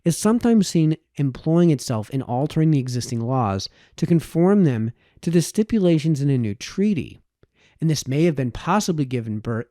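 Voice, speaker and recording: Man reading aloud, lively pitch, mellow tone. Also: no room sound, studio quality. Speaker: audiobook reader. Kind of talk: read-aloud of a formal historical political essay.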